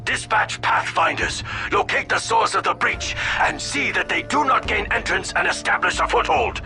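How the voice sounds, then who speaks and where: A resolute alien military commander with a high voice and wide-swinging intonation. He is formal and dutiful, speaking as in a game cutscene, with loud music behind him. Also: lively intonation, moderate pace, heavily acted. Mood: urgent, commanding. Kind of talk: video game cutscene acting.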